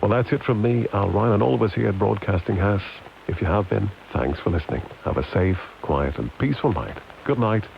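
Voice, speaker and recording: A man reads scripted radio announcements in a formal, deliberate way, heard over an AM long-wave radio. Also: on the low side, lively intonation, creaky. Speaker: radio announcer and shipping forecast reader. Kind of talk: measured scripted radio forecast and sign-off. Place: broadcast studio, heard off a radio. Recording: hissy, dull long-wave radio reception.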